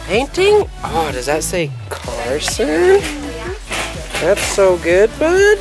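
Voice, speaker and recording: A man's voice: high-pitched, wildly swooping pitch, slow. A man in his thirties filming a casual family vlog, playful and encouraging with his kids, holding the camera. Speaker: vlogging dad. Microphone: handheld camera. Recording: background music under camera audio.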